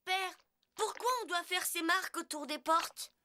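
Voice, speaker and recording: An earnest little cartoon child full of curiosity. The voice is extremely high, with music clearly underneath. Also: female voice, steady tone, unhurried, sharp tone, full character voice. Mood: curious. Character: curious, earnest young child questioning a parent. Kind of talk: animated film dubbing.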